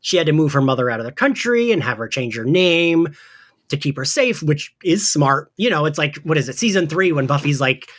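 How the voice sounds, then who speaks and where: A man's voice: on the high side, very animated, fairly quick, fairly bright tone. A man hosting a podcast, chatting casually and rambling through a pop-culture recap with slang and swearing. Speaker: podcast host.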